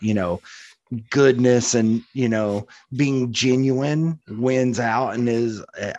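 A man's voice: mid-range voice, dry recording. A man co-hosting a podcast, chatting casually about films. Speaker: podcast co-host reviewing films. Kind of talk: casual chat.

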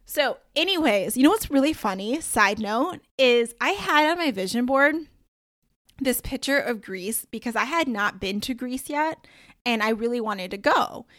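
Casting: upbeat woman podcaster, a bit high, rambling and chatty. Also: animated, normal speed, a bit bright, no room sound, clean studio sound. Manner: chatty, upbeat, full of asides and slang. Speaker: podcast host. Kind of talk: casual solo podcast talk.

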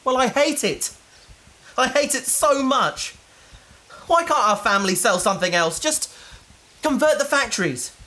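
Bright, earnest young rebel heir. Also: male voice, very high voice, animated, a little slow, pauses often, exaggerated voice. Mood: defiant. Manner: earnest, pleading. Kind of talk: science fiction audio drama acting.

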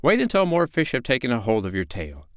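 A man's voice: mid-range voice, wildly swooping pitch, a little fast, no room sound, studio quality, pitched above the performer's own voice. A man voicing a sly, tricky male fox in a bedtime story, speaking in a coaxing way.

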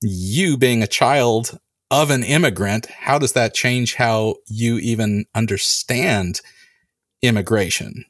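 A man at an ordinary middle pitch hosts a relaxed chat. He spins anecdotes with energy and acts out what people said. His voice sits dry and close to the mic. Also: slow pace, no echo. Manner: animated anecdotes, acting out quoted lines. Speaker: podcast host. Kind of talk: casual conversation, storytelling.

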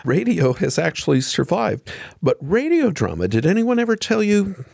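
Very animated, creaky-voiced male interviewer. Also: sits high, moderate pace, dry recording, studio quality. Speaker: podcast and radio host, interviewer. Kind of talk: conversational interviewing.

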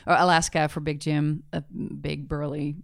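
A woman hosting a podcast, chatting casually and telling animated stories with quoted asides. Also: low-pitched, moderate pace, vocal fry, no room sound, clean studio sound. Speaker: podcast host. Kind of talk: casual chat.

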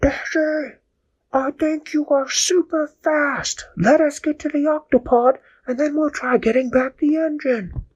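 A man voicing a commanding male ship captain, speaking briskly in a raised voice. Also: very high voice, steady tone, voice pushed up, poor audio. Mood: calling out.

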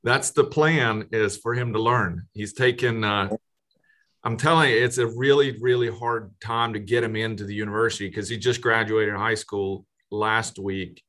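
A man hosting a casual podcast interview, speaking haltingly with frequent restarts and fillers. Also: fairly low pitch, fairly even intonation, sharp tone. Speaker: podcast host.

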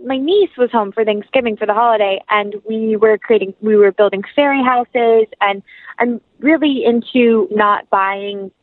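A woman's voice: a little high, normal speed, no echo. Young American woman, muffled dry audio. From North Carolina, United States. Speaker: actress, interview guest. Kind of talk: casual conversational interview.

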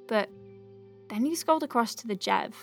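A woman playing a wry, soft-hearted young clinic doctor in an audio drama, chatting informally as she dictates her notes. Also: fairly high pitch, animated, moderate pace, creaky, soft background music. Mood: earnest, explanatory. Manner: chatty, wry, hesitant asides. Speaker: lead voice actor.

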